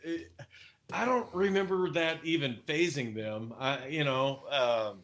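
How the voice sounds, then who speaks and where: A man's voice: a little high, unhurried, fairly bright tone. A man from Missouri with a Midwestern accent, talking as a guest in a casual interview, rambling and hesitant with many fillers and restarts. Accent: Midwestern American. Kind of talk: casual video chat interview.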